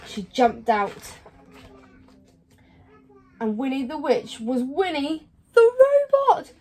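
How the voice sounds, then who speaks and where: A woman reading a children's story aloud in an expressive storytelling style. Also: high-pitched, takes it slowly. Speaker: storybook reader.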